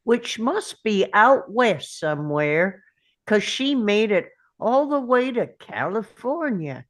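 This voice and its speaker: A woman at a table read playing a simple, earnest, gentle young man in love, speaking slowly. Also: mid-range voice, big pitch swings. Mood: wistful narrating.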